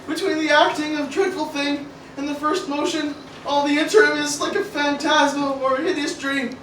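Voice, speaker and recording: Woman as bright, high, anxious teenage boy. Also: fairly even intonation, moderate pace, slight background noise. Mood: brooding. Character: anxious, reluctant teenage cartoon grandson. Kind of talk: mock-Shakespearean parody radio play read aloud. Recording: roomy, distant amateur group recording.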